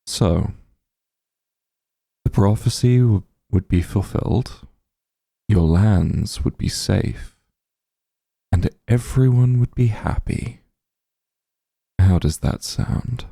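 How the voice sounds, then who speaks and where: A man playing a powerful, calm and smug demon lord in a fantasy ASMR roleplay, unhurried and condescending, with a gravelly, husky low voice. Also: no room sound, clean studio sound, heavily acted. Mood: coaxing, persuasive. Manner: unhurried, condescending, softly commanding.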